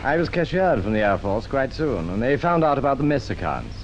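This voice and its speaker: A cocky male conman tells of his swindles in an old radio drama. He is breezy, insinuating and boastful, with a very animated middle-pitched voice. The recording carries slight noise. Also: fairly quick, dull audio. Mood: breezy reminiscing. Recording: old radio recording, faint noisy rumble.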